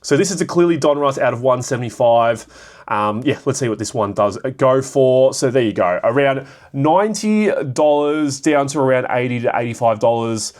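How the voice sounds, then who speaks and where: This is a young Australian man whose voice is raspy and a little worn from a cold. He talks at a middling pitch in a loose, rambling way, full of "uh" and "um" as he works through his thoughts out loud. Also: animated, normal speed. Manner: rambling, filler-heavy, thinking aloud. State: hoarse from a cold. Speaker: card shop vlogger. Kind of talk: casual solo commentary.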